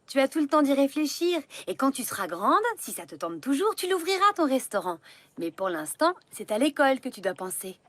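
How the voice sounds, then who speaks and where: A woman voicing a calm, reassuring mother in a cartoon. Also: sits high, fairly quick. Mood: soothing. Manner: soothing.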